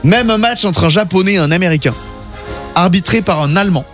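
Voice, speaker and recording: A man's voice: high-pitched, a little fast. A male radio host presenting a morning show, chatty and upbeat, running a quiz and announcing tracks in a sing-song way. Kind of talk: lively radio chat and quiz hosting. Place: radio studio. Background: faint music.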